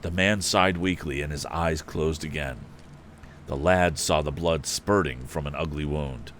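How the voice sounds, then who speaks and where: A man's voice: rumbling low, measured pace, a bit bright, a little hiss or hum. A man hosting a live story reading, narrating expressively and dramatically with casual asides. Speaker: host and reader of a live story stream.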